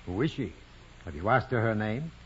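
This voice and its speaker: An actor playing a gruff, scornful parish priest in an old radio drama, brusque and imperious. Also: male voice, on the low side, big pitch swings, normal speed. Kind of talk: 1940s-50s radio drama acting. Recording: dull, hissy old radio broadcast.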